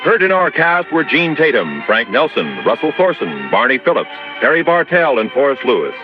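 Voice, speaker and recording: Male American announcer, 1950s radio sign-off style, lively varied pitch, dull old recording over music. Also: moderate pace.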